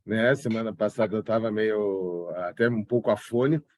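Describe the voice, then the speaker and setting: A man in his fifties from São Paulo with a slight gaúcho accent, a forensic expert guest recovering from a cold and talking in a relaxed, rambling way. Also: fairly low pitch, normal speed, dry recording, studio quality. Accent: slight southern Brazilian gaúcho. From São Paulo, Brazil. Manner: relaxed, rambling, with frequent restarts. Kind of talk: casual interview talk.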